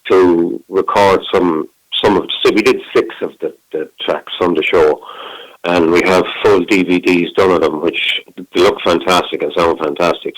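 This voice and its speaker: A man's voice: low voice, no echo, low-quality recording. A middle-aged man, a singer, chatting in a phone interview and rambling with many restarts. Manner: rambling, warm self-talk with many restarts.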